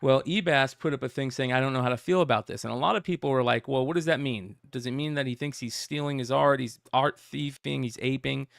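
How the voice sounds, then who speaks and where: A man's voice: medium pitch, a little fast, gravelly, hardly any pauses, fairly bright tone, no room sound, studio quality. A man hosting a casual comic news talk show, rambling and reading out a message in his plain voice. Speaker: host.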